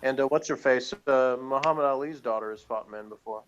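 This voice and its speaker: A man's voice: medium pitch, fairly quick, muffled recording, slight background noise. A man in a casual, unscripted review chat, speaking in a hesitant, rambling way as he thinks aloud.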